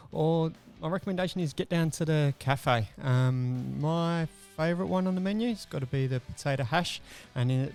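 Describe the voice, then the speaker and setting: Podcast host, mid-pitched man, friendly, filler-heavy chat. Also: a little mellow, clear, resonant tone. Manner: friendly, lots of ums and quick questions. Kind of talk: casual podcast interview.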